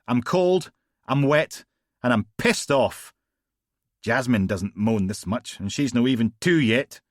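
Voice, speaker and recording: A grumpy, put-upon male detective who moans and grumbles, played by a man reading aloud, his voice pushed up and loud with exasperation. Recorded close and dry. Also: mid-range voice, animated, slow pace, no echo, pitched above the performer's own voice. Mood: exasperated. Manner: whining, exasperated.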